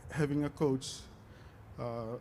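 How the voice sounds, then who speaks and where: Hesitant, slow-speaking man, fairly flat. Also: mid-range voice, slight background noise. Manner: hesitant, halting with many long pauses. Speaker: athlete being interviewed. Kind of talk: unscripted on-stage interview answers.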